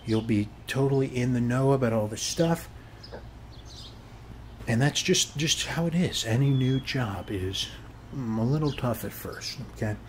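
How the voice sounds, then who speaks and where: A man's voice: mid-range voice, measured pace, a little mellow, a little hiss or hum, close to an everyday voice. A man playing a friendly, chatty suburban neighbor in a casual ASMR roleplay, rambling reassuringly in a folksy way and tagging phrases with short check-in questions. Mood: friendly, encouraging. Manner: folksy, reassuring, repeats "okay?" as a tag. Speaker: ASMR performer.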